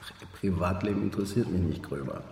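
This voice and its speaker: An actor playing a cynical, menacing smuggler boss in a radio drama, mocking and condescending. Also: male voice, low-pitched, fast talker, gravelly, mellow. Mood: mocking, threatening. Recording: echoey reverberant room with background noise.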